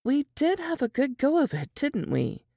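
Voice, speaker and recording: A woman's voice: high voice, huge pitch swings, normal speed, creaky, mellow, dry recording, studio quality, voice pushed up, heavily acted. A woman playing an earnest, worried young Southern singer in a dramatized period novel, with folksy phrasing. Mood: wistful, hopeful. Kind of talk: dramatized audiobook dialogue.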